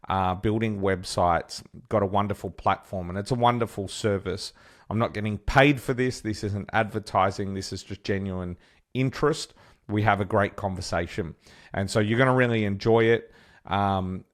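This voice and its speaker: An Australian man hosting an interview podcast, enthusiastic and chatty, with frequent fillers and restarts. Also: fairly low pitch. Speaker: podcast host.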